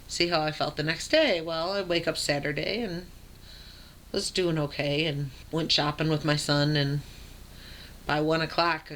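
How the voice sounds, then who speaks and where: Young adult woman vlogger, deep raspy voice, plainspoken storyteller. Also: a bit bright. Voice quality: raspy low voice. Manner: frequent ums and uhs, plain and matter-of-fact. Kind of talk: casual personal storytelling.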